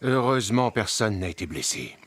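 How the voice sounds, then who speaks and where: An actor voicing a responsible, serious adult village leader in a cartoon. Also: male voice, lowish voice, sing-song, normal speed, a bit bright, faint music behind. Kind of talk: animated series dubbing.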